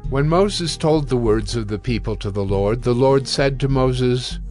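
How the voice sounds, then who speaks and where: Male scripture narrator over soft music. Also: mid-range voice, measured pace.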